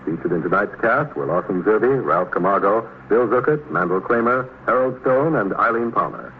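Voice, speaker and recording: A man's voice: lowish voice, lively intonation, moderate pace. An American man giving formal announcements in the style of 1950s network radio. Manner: formal, declarative. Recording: narrow-band old radio recording, faint noise.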